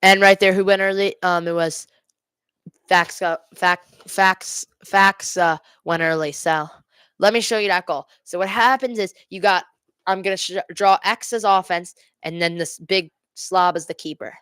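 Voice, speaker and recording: A woman's voice: lowish voice, steady tone, measured pace, a bit bright, clear, resonant tone, no echo. A woman giving live amateur hockey play-by-play for a fan watch party, correcting herself and explaining informally. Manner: exasperated Devils fan, self-correcting, explains plays informally.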